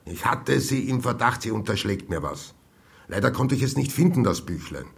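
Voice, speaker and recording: An imperious old man in a radio play. His low voice creaks as he argues, indignant and haughty. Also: lively intonation, normal speed. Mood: defensive, haughty. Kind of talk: radio drama acting.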